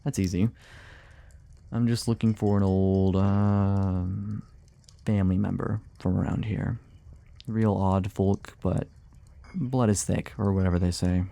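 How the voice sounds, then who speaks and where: A weary monster hunter from a fantasy ASMR roleplay, acted by a man, speaks in a dark, mellow voice with plenty of gravel. He takes his time, muttering and hesitating. He grumbles with dry sarcasm, guarded but willing to chat. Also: deep voice, fairly even intonation, takes it slowly, long pauses, no room sound, heavily acted. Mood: evasive, hesitant.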